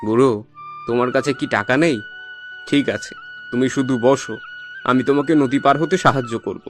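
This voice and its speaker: A man's voice: medium pitch, normal speed, faint music behind, close to an everyday voice. A man playing a poor, honest boatman and father in a cartoon fairy tale, speaking in an earnest, plaintive way. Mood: helpful, earnest.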